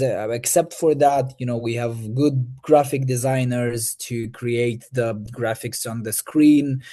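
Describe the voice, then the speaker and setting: A man's voice: medium pitch, warm tone. A young man from Moldova, answering interview questions in English with many fillers and restarts. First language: Romanian. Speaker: Eurovision artist.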